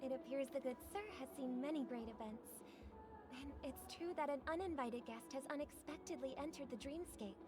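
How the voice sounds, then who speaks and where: A woman playing a poised, gracious young celebrity diplomat in a video game, calm and reassuring. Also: sits high, moderate pace, natural voice. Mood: composed, formal. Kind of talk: scripted video game voice acting. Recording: game dialogue over background music.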